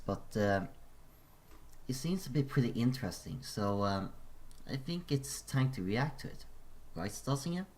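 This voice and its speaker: A person giving casual, halting commentary as the host of a reaction video, with hesitant pauses. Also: medium pitch.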